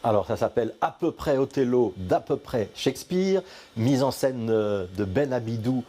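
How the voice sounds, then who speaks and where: A man with a mellow, warm voice hosts a TV studio interview. He moves quickly and conversationally from one question to the next. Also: medium pitch, lively intonation. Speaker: TV show host.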